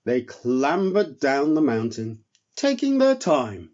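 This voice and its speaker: A man reading a children's story as narrator, in a measured, expressive way. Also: fairly high pitch, dull audio. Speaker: storyteller, author reading own work.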